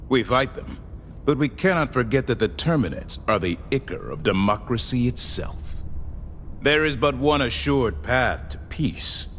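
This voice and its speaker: Grandiose, low-voiced military propaganda officer. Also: male voice, fairly bright tone. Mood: fervent resolve. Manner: grandiose, stately, formal pronouncements. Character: solemn zealous military officer, male propagandist of a sci-fi empire. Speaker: game character voice actor. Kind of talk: scripted dramatic game voicelines, oratory. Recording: long hall reverb, music behind. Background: music.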